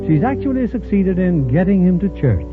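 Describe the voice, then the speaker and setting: A man's voice: high-pitched, big pitch swings, a little fast, music in the background. A man hosting a radio drama with formal, theatrical 1940s narration. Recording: very muffled 1940s radio recording.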